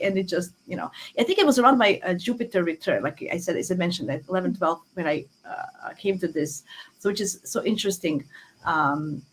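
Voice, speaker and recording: Halting Croatian woman with a lowish voice. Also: slightly rough, low-quality recording. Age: 40s. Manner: halting, repeats words and restarts often. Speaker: guest astrologer. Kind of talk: casual interview talk, telling personal story. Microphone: phone app on a video call.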